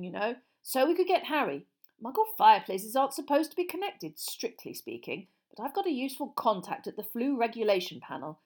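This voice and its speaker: A woman voicing an amiable middle-aged wizard father for a storybook, vague, excitable and apologetic. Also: high-pitched, pitched above the performer's own voice.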